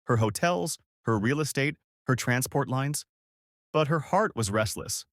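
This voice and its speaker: A man reading a scripted, dramatic story in a measured storytelling manner. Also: medium pitch, expressive, fairly bright tone, dry recording, studio quality. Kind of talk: scripted narration of a dramatic folktale-style story.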